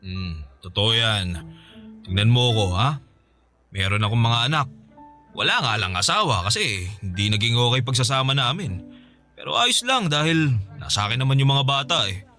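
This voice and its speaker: A lively, bright male voice with a Filipino accent, an actor playing a chatty friend in a radio drama. His pitch swings as he teases his pal, with faint music underneath. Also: normal speed. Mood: fond. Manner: chatty, teasing, chuckling buddy talk. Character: teasing, sociable male friend, small business owner and single father. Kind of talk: acted radio drama dialogue in casual banter.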